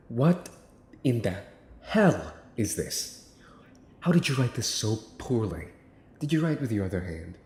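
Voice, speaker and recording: A man playing a smug, theatrical mafia boss's son in an ASMR roleplay, with a teasing drawl full of pauses. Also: mid-range voice, darkish tone, a little hiss or hum. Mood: exasperated. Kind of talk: ASMR boyfriend roleplay acting.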